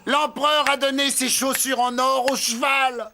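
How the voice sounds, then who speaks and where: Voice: high-pitched, fairly even intonation, unhurried, background noise. An actor voicing a vain, boastful little male scarab beetle in a cartoon, with pompous, exclamatory delivery. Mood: gloating. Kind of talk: cartoon character acting. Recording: music behind the voice.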